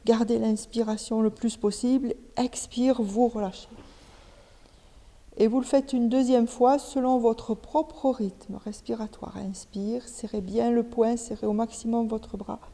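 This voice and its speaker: Woman yoga teacher talking ppl thru relaxation step by step, really soft dark tone, kinda high, pauses. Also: steady tone. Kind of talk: guided yoga nidra instruction. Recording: long reverberant hall echo.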